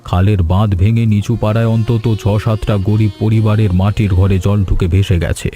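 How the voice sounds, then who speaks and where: A man as a mellow, troubled village headman. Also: lowish voice, little pitch movement, fairly quick, faint music behind, close to an everyday voice. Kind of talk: scripted cartoon dialogue.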